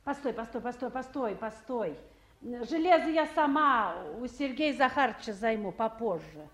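Casting: actress as brisk, businesslike female council boss, high voice, vintage radio drama, roomy studio sound. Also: expressive, close to an everyday voice. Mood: brisk, commanding. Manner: businesslike, commanding, conversational. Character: brisk, bossy female town council official, warm underneath. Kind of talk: 1950s Soviet radio drama acting.

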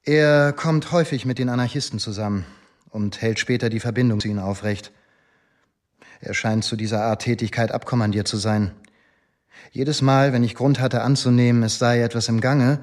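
Man playing police inspector, radio drama, mid-range voice, deferential, formal, measured, self-assured. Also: medium pitch, natural voice. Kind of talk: German radio drama dialogue.

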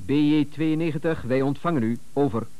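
An actor in a radio play, playing a courteous, careful police dispatcher who gives orders in measured, official phrases. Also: male voice, fairly high pitch, clear, resonant tone, narrow, tinny audio, lightly acted. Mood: calm, official. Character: formal, careful male police dispatcher in command.

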